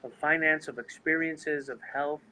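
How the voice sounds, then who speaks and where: A man's voice: a little high, fairly even intonation, normal speed, sharp tone, slight background noise, rough recording. A man with a non-native English accent, earnestly explaining his seminar work and speaking straight to viewers over a video call. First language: not English. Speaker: seminar leader. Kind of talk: live webinar talk.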